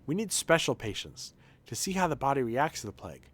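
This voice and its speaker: A man's voice: mid-range voice, very animated, close to an everyday voice. A man plays a haughty city doctor from a video game. He talks in a mid-range voice, curt and dry, with a superior manner. There is some background noise. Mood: businesslike, superior. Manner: brisk, dry, condescending. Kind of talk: video game dialogue read aloud.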